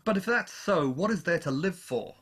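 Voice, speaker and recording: A man's voice: high voice, lively intonation, normal speed, a bit bright, husky, full character voice. An actor playing a weary, lonely man who survived an invasion, speaking in a solemn, literary manner in a radio play. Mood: anxious, despairing. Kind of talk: dramatic radio play narration and dialogue.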